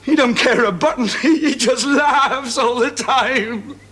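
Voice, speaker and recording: An actor playing an uneasy, simple country man with a halting, defensive way of talking, in a 1970s television drama. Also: very high-pitched, fairly bright tone, husky, exaggerated voice. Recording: old TV soundtrack, faint noise.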